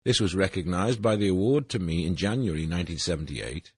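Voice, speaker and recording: An older man from Wales, reading his own autobiographical essay in a measured, formal way, his voice a little husky and his phrases falling at their ends. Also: sits low, no room sound. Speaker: essay narrator.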